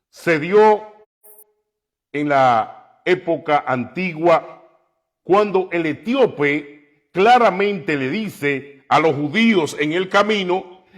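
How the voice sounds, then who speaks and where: A man preaching on the radio, slow and emphatic, climbing to loud, impassioned peaks. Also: high voice. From New York. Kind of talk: preaching commentary.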